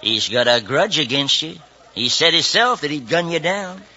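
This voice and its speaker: A suspicious frontier foreman with a gruff, challenging manner. The voice is somewhat high and hard-edged, very forceful. It has the dull sound of old radio. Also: lively intonation, moderate pace, full character voice. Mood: hostile, challenging. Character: suspicious, belligerent Western ranch foreman. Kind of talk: 1950s Western radio acting.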